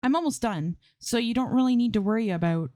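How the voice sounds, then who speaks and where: Woman acting a high-pitched, playful young gamer girl. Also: fairly quick, mellow, dry recording, studio quality, close to an everyday voice. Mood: sheepish. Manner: playful, informal, protesting. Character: bubbly, easily flustered young woman gamer streaming to fans.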